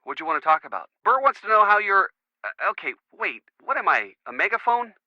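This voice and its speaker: An actor playing a chatty, jokey college quarterback boyfriend with an American accent, in casual video game phone dialogue. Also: male voice, sits high, brisk, no echo, dull audio. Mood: flustered. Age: young adult. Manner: jokey, animated, slangy.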